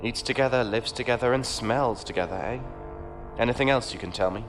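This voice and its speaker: A man's voice: medium pitch, fairly quick, music in the background, lightly acted. A man playing a genteel knight who talks in a courtly, patronizing way with dry wit. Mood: wry. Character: genteel, condescending male knight with a ship.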